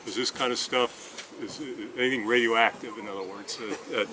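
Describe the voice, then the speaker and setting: A man asking questions at a public meeting in a halting, roundabout way. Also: medium pitch, steady tone, a crowd in the background. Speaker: attendee questioning officials. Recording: noisy public meeting, ambient noise.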